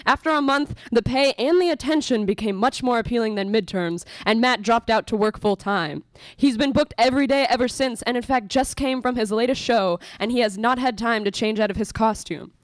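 A woman's voice: a little high, brisk, dry recording. A young adult woman giving scripted narration for a live radio play. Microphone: stand microphone.

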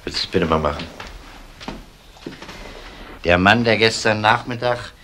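Male detective, lowish voice, composed, courteous interrogator for a retro crime radio play; slightly noisy recording. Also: unhurried, bright, forceful tone. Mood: calm, businesslike. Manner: methodical, polite questioning.

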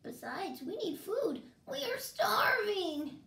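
Little storybook turtle, woman performing: humble, put-upon, pleading softly, high pitch, roomy echo. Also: sing-song, slow pace, vocal fry, far from the mic, heavily acted. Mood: pained pleading. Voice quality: soft, groaning. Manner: polite, timid, pleading. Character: meek, plain, long-suffering little male turtle. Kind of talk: animated children's storybook reading. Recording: echoey room.

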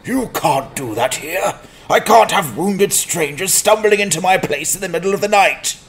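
A man voicing a grumpy, suspicious innkeeper in a dramatized fantasy audiobook, gruff and indignant, with a gravelly voice. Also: high voice, animated, normal speed, slight background noise. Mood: grudging. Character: grumpy, suspicious male innkeeper, quick to insult.

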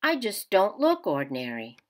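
A woman reading a children's picture book aloud in an expressive way for young listeners. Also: mid-range voice, moderate pace. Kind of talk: children's storybook read-aloud.

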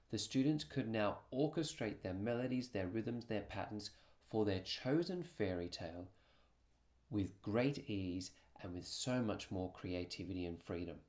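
Low-pitched man presenting a lesson. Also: a little mellow. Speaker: music teacher presenting student project. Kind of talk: scripted presentation narration.

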